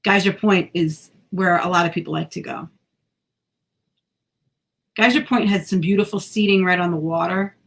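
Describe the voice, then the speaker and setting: A woman's voice: fairly low pitch, fairly even intonation, moderate pace, creaky, pauses often. A woman co-hosting a podcast in casual chat, talkative and self-deprecating, sometimes tripping over her words. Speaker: podcast co-host.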